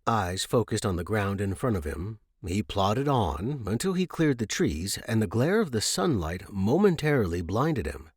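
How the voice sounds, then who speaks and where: A man's voice: fairly low pitch, sing-song, moderate pace, few pauses, slightly rough, no echo, clean studio sound. A man reading a scripted adventure story as its narrator.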